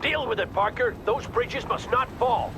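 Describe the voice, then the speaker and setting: An actor playing a gruff, exasperated colonel giving curt orders by radio in a war video game. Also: male voice, very high-pitched, a little slow. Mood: angry, urgent. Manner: curt, authoritative. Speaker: military commander. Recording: battle noise over the voice. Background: gunfire, artillery and vehicles.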